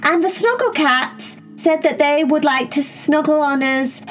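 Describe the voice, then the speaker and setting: A woman voicing an excitable, chatty young female cat who gushes and rambles in a storybook. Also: sits high, a little slow, soft background music, voice pushed up. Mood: excited. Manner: gushing, breathless, rambling.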